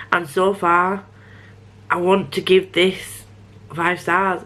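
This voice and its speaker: A woman's voice: low voice, fairly bright tone. Chatty, sniffly woman book vlogger. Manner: chatty, self-interrupting, frequent restarts. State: a bit sniffly. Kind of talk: rambling casual vlog chat.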